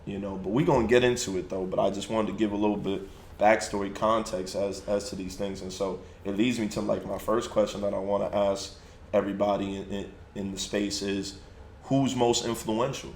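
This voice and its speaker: A man's voice: lowish voice, fairly even intonation, brisk, darkish tone, reverberant, a little hiss or hum. A man hosting a casual podcast discussion, easygoing as he puts questions to the group. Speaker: podcast host.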